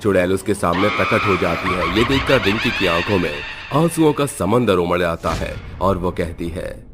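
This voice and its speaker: Deep-voiced male story narrator. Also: lively intonation, normal speed. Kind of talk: dramatic scripted story narration. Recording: music behind.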